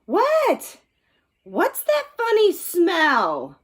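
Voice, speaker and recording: A woman's voice: very high-pitched, wildly swooping pitch, very slow, pitched above the performer's own voice, exaggerated voice. A woman voicing a patient, teasing father frog in a storybook, explaining things to his child. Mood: puzzled, kindly. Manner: patient, instructing.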